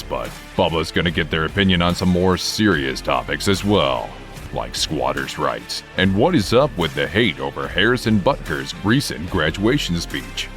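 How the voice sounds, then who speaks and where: A man's voice: rumbling low, fairly bright tone. A man reading a playful, dramatic scripted introduction for a podcast, announcing the host. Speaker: show announcer.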